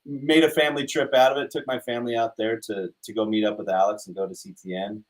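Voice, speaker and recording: A man's voice: fairly low pitch, steady tone, quick pace, rough recording. A man from Utah chatting casually and easily as an interview guest. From Utah, United States. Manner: easygoing, conversational. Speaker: interview guest, animation studio co-founder. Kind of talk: casual chat.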